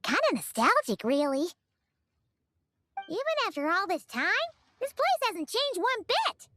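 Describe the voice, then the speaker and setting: A woman voicing a small, cheerful, childlike fairy companion in a video game, with a squeaky voice. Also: big pitch swings, measured pace, bright, forceful tone, a little hiss or hum, full character voice. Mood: nostalgic. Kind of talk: video game character voice acting.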